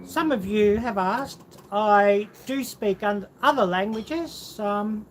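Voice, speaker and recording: A woman chats casually on a livestream at a very unhurried pace. Her pitch sits in the middle for a woman, and she rambles as she muses aloud to viewers. There is some light ambient noise behind her voice. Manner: rambling, musing aloud while answering viewers. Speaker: livestream host.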